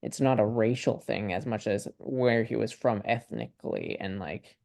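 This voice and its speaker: A young man from Ukraine chatting casually on a video call in a student discussion, thinking aloud in a tentative, halting, rambling way. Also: lowish voice, creaky, a little mellow, no room sound, clean studio sound.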